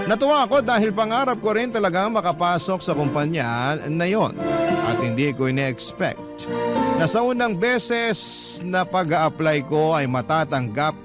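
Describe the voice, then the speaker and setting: A man narrating a first-person letter as a radio host, in a storytelling style. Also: a little high.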